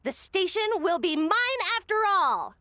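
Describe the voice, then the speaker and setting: A woman's voice: very high voice, very animated, a little slow, pushed and bright, no room sound, studio quality, full character voice. A woman playing a scheming, impatient city mayor in a comedic audio drama, brash and bossy.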